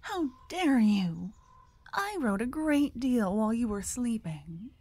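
A woman playing a slow, soft-spoken doting vampire writer. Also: on the high side, sing-song, no echo, exaggerated voice. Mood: mock indignant, flustered. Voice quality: soft, breathy, often whispered. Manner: teasing, dreamy, old-fashioned wording. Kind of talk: soft ASMR roleplay. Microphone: close, dry microphone.

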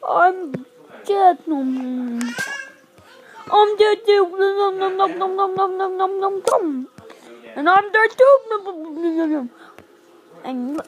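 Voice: very high voice, a bit bright. A child improvising a pirate puppet show, acting out the characters and chanting in a sing-song way.

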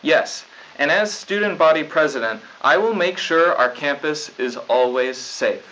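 Man acting earnest student news anchor, stiff, self-important, higher forceful voice, dull videotape sound. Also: expressive, unhurried. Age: young adult. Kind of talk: scripted mock 1990s school news broadcast. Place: small studio news desk.

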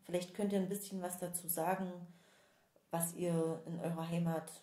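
A woman with a fairly low voice introduces her podcast in an earnest, measured way. She sounds like she is reading a prepared script. The room around her is noticeably echoey. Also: level tone, warm tone. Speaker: podcast host.

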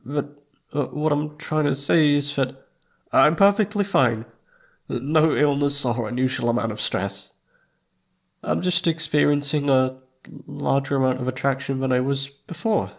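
A man playing a shy, flustered young incubus with a husky, slightly creaky voice, stammering and hesitant in a romantic ASMR audio roleplay. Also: mid-range voice, unhurried. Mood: nervous, evasive. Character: shy, flustered young male incubus roommate confessing his crush.

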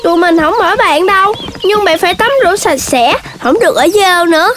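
An actor voicing a gentle, earnest, slightly whiny young rabbit in a children's cartoon, with a southern Vietnamese accent. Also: extremely high pitch, brisk, a little hiss or hum. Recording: loud music behind the voice.

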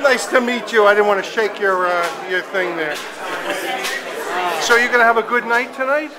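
High-pitched man amid a loud crowd. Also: moderate pace. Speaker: interviewer and videographer. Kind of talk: casual event commentary and interview. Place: crowded indoor reception room. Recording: loud crowd chatter all around.

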